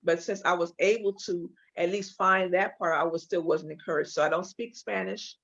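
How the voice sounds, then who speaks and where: A woman's voice: lowish voice, moderate pace, gravelly. Chatty Los Angeles woman, lo-fi sound. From Los Angeles, California. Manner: open, storytelling, animated at times. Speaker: guest, hair and makeup artist. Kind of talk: casual interview chat. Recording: dull lo-fi audio.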